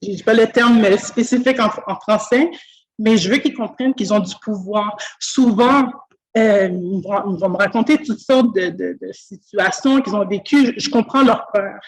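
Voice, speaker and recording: A woman from Quebec with a Québécois French accent, mid-pitched for her sex, explaining her work as a school speech therapist. She speaks thoughtfully and earnestly, often pausing on fillers and restarting her phrases. The sound comes through a video call. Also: breathy edge, low-quality recording. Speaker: school speech-language pathologist, guest. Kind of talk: conversational interview. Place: school office.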